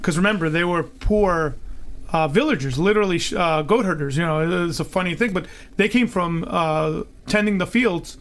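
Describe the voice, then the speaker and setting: A man in his forties from Long Island, telling stories as a podcast guest in an animated, laughing way. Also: sits high, moderate pace. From Long Island, New York. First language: Greek. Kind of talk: storytelling in an interview.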